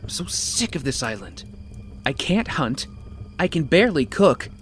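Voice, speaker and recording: An actor playing a starving, guilt-wracked young castaway in an audio drama, emotional and self-pitying. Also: male voice, a little high, sing-song, measured pace, soft background music. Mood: frustrated, despairing.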